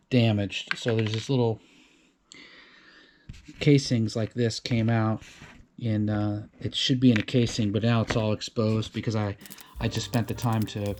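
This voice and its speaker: A man hosting a DIY boat vlog, explaining things in casual, unscripted how-to chat with a halting delivery and repeated words. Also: on the low side, background noise.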